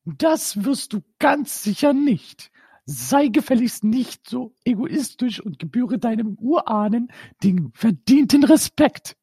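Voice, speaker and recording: A woman's voice: a little high, expressive, takes it slowly, dark tone, slightly rough, no room sound, studio quality, full character voice. A woman voicing a stern, strict mother who scolds. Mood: scolding.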